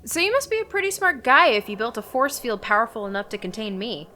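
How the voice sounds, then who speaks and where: A woman's voice: a little high, very animated, quick pace, sharp tone, slight background noise. A woman playing a principled, battle-hardened superhero in a radio drama, firm and defiant. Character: principled, tough female superhero, a battle-hardened war veteran.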